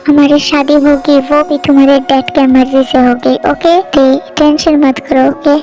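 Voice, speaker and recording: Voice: high-pitched, little pitch movement, moderate pace, dark tone, soft background music, pitched below the performer's own voice. A young performer voicing an earnest, lovesick young soldier in an amateur voice drama. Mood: reassuring. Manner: pleading, reassuring. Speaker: voice actor in a fan drama.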